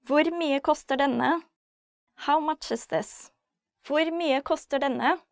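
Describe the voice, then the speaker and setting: Norwegian woman teaching phrases, takes it really slow, dry close sound. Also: high voice, dry recording. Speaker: language lesson host. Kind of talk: instructional phrase lesson with repeats and casual explanations.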